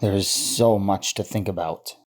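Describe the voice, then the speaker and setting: A man playing a gentle, absent-minded scientist husband in an old time radio drama, musing and hesitant. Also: sits low, steady tone, a little slow. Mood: musing, tender.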